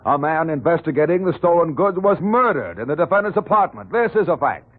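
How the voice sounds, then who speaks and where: Insistent prosecutor, oratorical, sarcastic, highish, animated, vintage radio drama, muffled narrow recording. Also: male voice, fairly quick. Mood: forceful, oratorical. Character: relentless, sarcastic prosecutor pressing his case. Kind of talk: 1940s radio drama acting.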